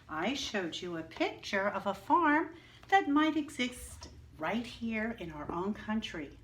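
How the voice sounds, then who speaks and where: A woman teaching young children in a slow, sing-song way, asking questions and answering them herself. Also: mid-range voice, a bit bright. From New York, United States. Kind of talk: read-aloud lesson for young children.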